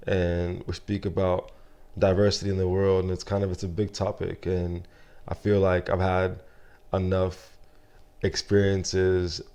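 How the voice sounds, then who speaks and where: Very low, flat-voiced young man. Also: unhurried, clean tone. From Nigeria. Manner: soft-spoken, reflective, many fillers and restarts. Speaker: interview guest. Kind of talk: casual interview conversation.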